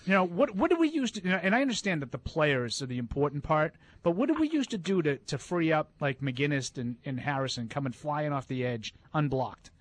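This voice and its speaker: Animated, questioning male sports cohost. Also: on the high side, a little fast, few pauses. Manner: inquisitive, emphatic. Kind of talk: sports talk radio.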